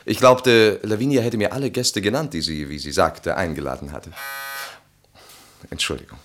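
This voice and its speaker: An actor in a German radio play playing an awkward, polite husband hosting a party, speaking hesitantly and evasively. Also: male voice, deep voice, moderate pace, a bit bright. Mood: apologetic, troubled.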